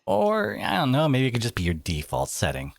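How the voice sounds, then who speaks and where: A man playing a devoted, protective boyfriend in a dramatic roleplay audio, speaking emphatically with sarcastic edges and dramatic pauses. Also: mid-range voice, wildly swooping pitch, gravelly, no room sound, exaggerated voice. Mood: indignant, sarcastic.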